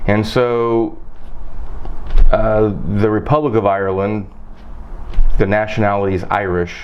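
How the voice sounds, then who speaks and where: A man hosting an interview, asking numbered questions and quick follow-ups in a lively way. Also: lowish voice. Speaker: interviewer and host. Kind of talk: scripted question-and-answer interview. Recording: dull lo-fi audio with faint noise.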